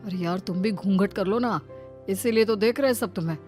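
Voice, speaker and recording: A woman's voice: mid-range voice, brisk, darkish tone, faint music behind, natural voice. Woman voicing insistent conservative village man. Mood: pleading. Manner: admonishing, insistent. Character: conservative village husband and stern menfolk, scolding and pleading.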